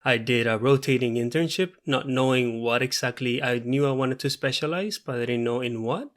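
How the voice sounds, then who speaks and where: A man's voice: medium pitch, a bit bright. A man in his thirties from Puerto Rico with a Puerto Rican Spanish accent, a native Spanish speaker, talking earnestly as a veterinarian guest in a podcast interview. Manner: earnest, measured, frequent fillers.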